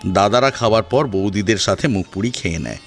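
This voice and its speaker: Guy narrating an audio story, kinda low voice, very animated, faint music under him. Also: fairly quick. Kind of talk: dramatic scripted narration.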